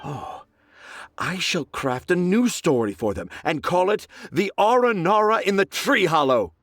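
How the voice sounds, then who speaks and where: A man's voice: high voice, big pitch swings, a little slow, a bit bright, full character voice. A man playing a self-assured young storyteller in a video game, florid and theatrical in manner. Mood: inspired, proud. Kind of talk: video game character acting.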